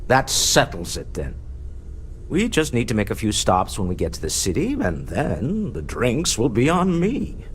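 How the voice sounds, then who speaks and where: A shrewd, suave spacefaring businessman in a video game. He talks in a polished, theatrical way, his pitch swinging freely to persuade. Faint ambient noise sits behind the voice. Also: huge pitch swings, measured pace. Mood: smooth, persuasive.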